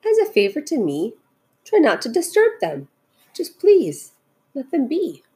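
A woman voicing a gentle, protective male elephant from a storybook, sincere and pleading in manner. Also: lowish voice, sing-song, unhurried, breathy edge, heavily acted.

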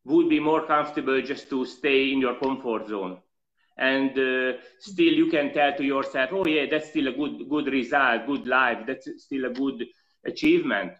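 A man with a slight European accent recounts his life story as an interview guest. He talks earnestly at an even middle pitch and hesitates on fillers often. The audio is dull and lo-fi. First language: not English. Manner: earnest, reflective, halting with fillers.

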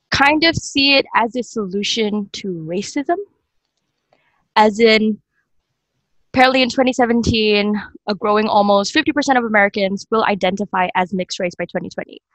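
A woman's voice: mid-range voice, a bit bright, no echo, low-quality recording. A young adult woman from Indonesia hosting a casual panel discussion. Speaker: podcast host.

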